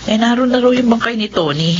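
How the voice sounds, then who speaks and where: A woman playing a caring, practical mother in a radio horror drama, with a maternal, expressive delivery. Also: on the high side, fast talker, close to an everyday voice. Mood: concerned. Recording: dull lo-fi audio with loud noise.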